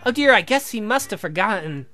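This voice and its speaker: Guy putting on a sweet coy girlfriend voice for a game, high and bright, eager, bit hesitant. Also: lively intonation, a little fast, soft background music. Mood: sweet, apologetic. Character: sweet, eager, coy young woman on a date call. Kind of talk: visual novel character voice.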